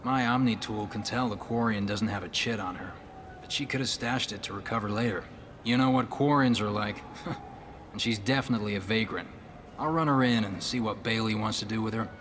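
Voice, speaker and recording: A man's voice: lowish voice, a little fast, noisy background, lightly acted. An actor playing an officious space-station policeman in a video game, procedural and placating. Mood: official.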